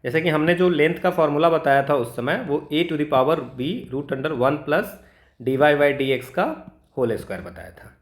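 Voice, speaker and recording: Higher-voiced Indian male tutor, echoey room. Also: moderate pace. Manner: informal, step-by-step, checks understanding with frequent tags. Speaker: maths teacher for exam preparation. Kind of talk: explanatory lesson mixing Hindi and English.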